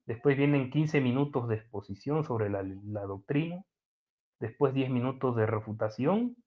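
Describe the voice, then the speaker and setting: A man with a mid-range voice hosts a debate, laying out the format in a brisk, formal way. His voice comes through thin and tinny, like a phone line. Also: mid-range voice. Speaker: host. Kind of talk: introducing an online debate. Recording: phone-like narrow sound.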